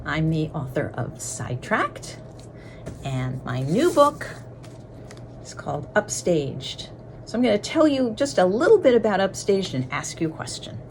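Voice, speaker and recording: Lowish-voiced woman author, faint noise. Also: very animated, measured pace, a little mellow. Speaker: children's author. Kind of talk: casual presentation to young readers.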